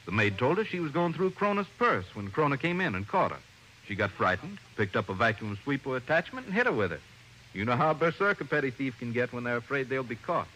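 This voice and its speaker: A man's voice: medium pitch, big pitch swings, fairly quick, sharp tone. An American actor playing a folksy, shrewd small-town lawman in a 1950s radio drama, with sly mock humility. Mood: matter-of-fact. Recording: faintly noisy old radio recording.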